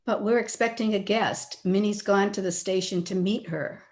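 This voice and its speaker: Woman acting poised, teasing young lady, medium pitch. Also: fairly even intonation, moderate pace, darkish tone, lightly acted. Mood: light, evasive. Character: self-possessed, lightly teasing young woman of marriageable age. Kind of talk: old-time radio drama acting. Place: at home, recorded over a video call.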